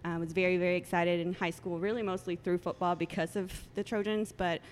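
A woman in her late twenties, raised with Spanish as her first language, talks as a guest in a low, soft voice full of ums. A faint rumble of noise sits under her speech. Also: level tone, fast. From El Salvador. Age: 20s. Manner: soft-spoken, many ums, laughs often. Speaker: guest photographer. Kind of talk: casual interview chat.

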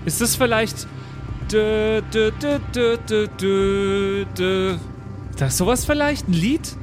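A man voicing a naive, soft-hearted young woman in an improvised audio drama, questioning and rambling in a hesitant way. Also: high voice, slow, music in the background. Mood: puzzled, eager.

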